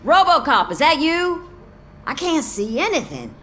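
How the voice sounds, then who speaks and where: An actress playing a sarcastic policewoman in a video game, griping in an animated, sardonic way. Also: high voice, unhurried, heavily acted. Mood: exasperated. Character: sarcastic, grumbling female beat cop. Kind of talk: scripted game dialogue.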